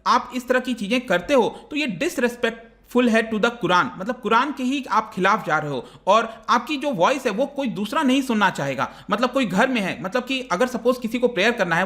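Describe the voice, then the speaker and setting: A man's voice: very high-pitched, bright, forceful tone. A man with an Indian accent giving an explanatory current-affairs lecture as a teacher, conversational and step by step, mixing in English terms. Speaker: online exam-prep teacher.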